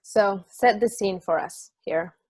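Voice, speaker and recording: A woman's voice: mid-range voice, slow, darkish tone, slightly rough, no echo. A woman playing a jaded, sardonic sports podcast host in a casual table read. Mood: casual explaining.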